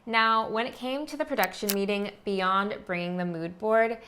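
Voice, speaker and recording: A woman's voice: mid-range voice, normal speed, slight background noise. A woman artist talking through her book planning in a casual, explanatory vlog voice, often filling gaps with "like". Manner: relaxed, chatty, frequent "like" fillers. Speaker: artist and vlogger.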